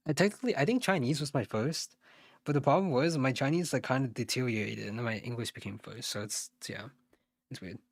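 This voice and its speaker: A man's voice: medium pitch, a little fast, no room sound. A man from the United States working through math questions live, thinking aloud, muttering and correcting himself as he goes. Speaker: SAT tutor streaming live.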